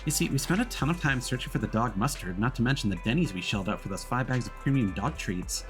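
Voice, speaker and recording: A man's voice: mid-range voice, animated, quick pace, soft background music, lightly acted. A man voicing an indignant, defensive, money-minded young woman boss from a video game. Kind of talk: reading game dialogue aloud.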